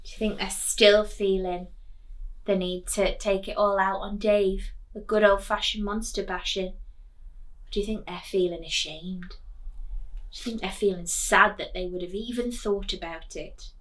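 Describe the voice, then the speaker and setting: A woman teacher reading a children's storybook aloud in an expressive, sing-song way, putting coaxing questions to young listeners. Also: medium pitch, a little slow, low-quality recording.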